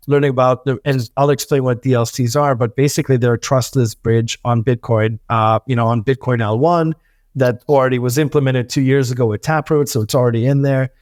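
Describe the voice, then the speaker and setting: A man's voice: mid-range voice, darkish tone, no echo, studio quality. A man in his thirties, born in Hungary and raised in the US, talking as a guest in a casual interview with lots of fillers and restarts. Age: 30s. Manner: quick, rambling, many fillers and restarts. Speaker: startup founder guest.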